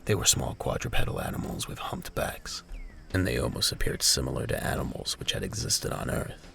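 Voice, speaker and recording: Extremely deep, gravelly male narrator. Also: big pitch swings, fairly quick, pushed and bright, faint music behind. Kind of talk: scripted story narration for audio drama.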